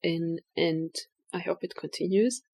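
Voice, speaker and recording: A woman's voice: on the low side, fairly even intonation, measured pace, warm tone. A woman from Hungary with a Hungarian accent, a guest artist thinking aloud in a casual interview with frequent restarts.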